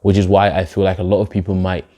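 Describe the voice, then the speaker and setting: A young man from Denmark, a poet, giving reflective, measured interview answers. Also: sits low, little pitch movement, mellow. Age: young adult. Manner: reflective, measured, with filler phrases. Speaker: poet and guest. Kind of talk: spoken word poem, then interview answers.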